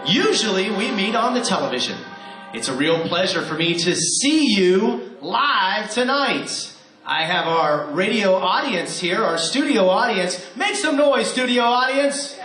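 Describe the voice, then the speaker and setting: Man, emcee, upbeat crowd-rousing, high lively voice, roomy hall, music behind. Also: measured pace. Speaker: emcee host. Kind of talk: live show hosting. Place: theater stage with live audience.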